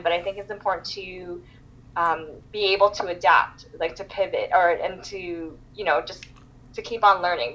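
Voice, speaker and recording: A woman's voice: lowish voice, fairly bright tone, muffled recording. A woman from Canada, a guest on a podcast, talking casually with lots of fillers and restarts. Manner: chatty, many fillers and restarts. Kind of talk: casual interview chat.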